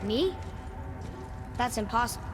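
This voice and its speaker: A man's voice: very high-pitched, expressive, a little slow, music in the background. A young male actor playing an earnest, curious boy in a video game. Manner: earnest, youthful, casual. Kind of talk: video game cutscene acting.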